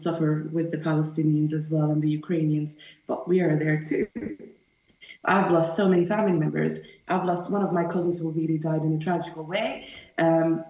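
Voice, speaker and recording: A young woman from Sudan speaks as an interview guest, earnest and articulate. Her pitch sits a little low, and she leans on key words for emphasis. The recording carries obvious room echo. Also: mellow. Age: 20s. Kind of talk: earnest personal account in an interview. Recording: echoey room sound.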